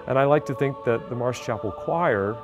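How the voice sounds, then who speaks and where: A man in his thirties, a choir music director, speaking in a measured, thoughtful way with pauses in a wood-paneled office. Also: mid-range voice. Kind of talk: interview.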